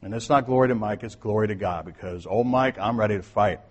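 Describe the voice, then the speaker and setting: Husky-voiced male pastor preaching in a reverberant hall. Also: on the low side, fast. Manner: conversational preaching, swings from soft to emphatic. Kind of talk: sermon. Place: church sanctuary.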